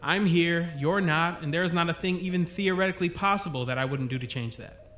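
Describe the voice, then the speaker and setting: A man's voice: fairly high pitch, fairly quick, a bit bright, a little hiss or hum, lightly acted. A man playing a doting, joking father with a wry, rambling manner in an audio drama. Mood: wry, tender.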